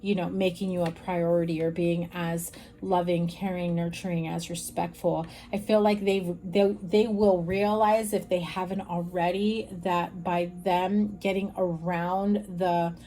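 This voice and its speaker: A woman reads tarot cards in a frank, chatty way, talking straight to her viewers. Her voice sits low and mellow, with a slight rasp. Faint hiss runs under the recording. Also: level tone, measured pace, few pauses. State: slightly raspy voice. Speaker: tarot reader. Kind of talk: casual tarot reading to camera.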